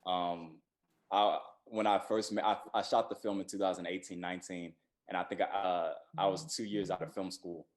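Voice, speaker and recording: A man speaking as a film director in a casual interview, halting and repeating words as he thinks. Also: deep voice, steady tone.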